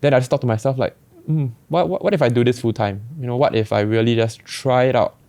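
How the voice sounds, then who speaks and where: A young man with a Singaporean accent, telling his story in a relaxed, reflective way with many restarts. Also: mid-range voice, quick pace, no echo. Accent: Singaporean English. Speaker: barber guest. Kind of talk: casual podcast chat.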